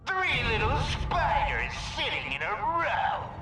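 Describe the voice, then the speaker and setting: A man's voice: extremely high pitch, lively intonation, slow pace, vocal fry, full character voice. An actor playing a taunting, sardonic goblin supervillain in a video game, drawling his words. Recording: roomy, loud music behind.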